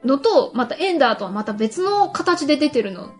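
Woman, high voice, lively intonation, casual radio host, music behind. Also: brisk. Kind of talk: casual radio chat about a drama CD.

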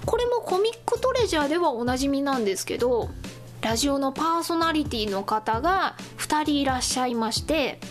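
Woman, high voice, solo radio host, casual chatty delivery, music playing behind her. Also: expressive, brisk. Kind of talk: casual solo radio-style chat with listener announcements.

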